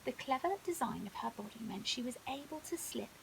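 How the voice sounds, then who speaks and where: A woman reads a scripted children's bedtime story in an expressive, dramatic way. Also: fairly high pitch, a little fast, gravelly. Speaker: storyteller, primary school teacher. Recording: audible hiss.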